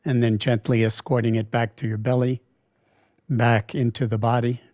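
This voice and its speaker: A man leading a guided meditation in a calm, unhurried way, often pausing mid-sentence. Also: mid-range voice, dry recording, clean studio sound. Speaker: meditation teacher.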